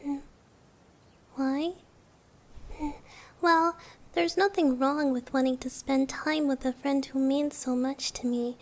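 A woman voicing a gentle, childlike dream-guardian deity in a hushed, reassuring ASMR roleplay, often close to a whisper. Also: high-pitched. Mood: welcoming, calm. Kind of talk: ASMR roleplay, soft soothing delivery.

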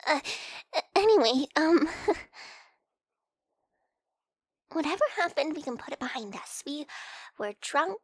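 High-voiced flustered young woman, acted by a woman. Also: expressive, normal speed, dry recording. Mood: sheepish, hesitant. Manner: hesitant, stammering, soft-spoken with breathy whispered moments. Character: flustered young woman, hungover friend waking up in bed. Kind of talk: ASMR roleplay.

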